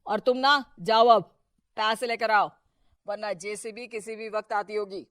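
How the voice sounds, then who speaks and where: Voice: medium pitch, steady tone, measured pace, fairly bright tone, clean tone, no echo, close to an everyday voice. A performer playing a domineering, corrupt middle-aged male politician husband in a Hindi cartoon moral story, bossy and dismissive. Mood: angry, scolding.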